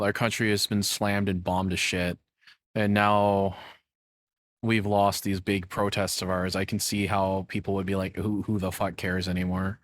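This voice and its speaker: Man, lowish voice, casual reaction host, rambling and conversational, very dry close sound. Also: a bit bright, no echo. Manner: loose, rambling, acts out imagined quotes. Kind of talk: casual spoken commentary.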